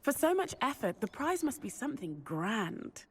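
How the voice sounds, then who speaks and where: A woman voicing an eager, curious, chatty schoolgirl witch in a video game, expressive and enthusiastic. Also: on the high side, moderate pace, husky, exaggerated voice. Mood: encouraging, intrigued.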